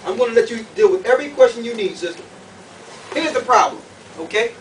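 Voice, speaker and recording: A man preaching to a group in an animated, colloquial way, emphatic and full of rhetorical questions. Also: very high-pitched, quick pace, recorded from a distance. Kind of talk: animated sermon with questions to the audience. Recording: noisy room, picked up from afar.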